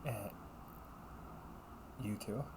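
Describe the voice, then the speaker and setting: A man's voice: drawn-out words, a little mellow, slight background noise. A man acting as a young delinquent older brother in an audio roleplay, talking in a casual, slangy, needling way. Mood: suspicious, sarcastic.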